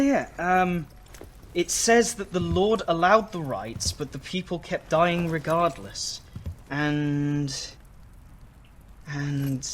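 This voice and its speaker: Nervous nerdy young scholar in a game, kinda high voice, stammery and earnest, bit of background noise. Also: male voice. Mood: puzzled, hesitant. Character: nervous, bookish young male scholar reading old chronicles. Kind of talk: video game cutscene acting.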